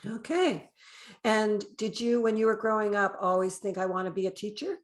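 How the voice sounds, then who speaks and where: A woman's voice: medium pitch, fairly even intonation, moderate pace. A woman with a Canadian accent, speaking as the host of a podcast interview.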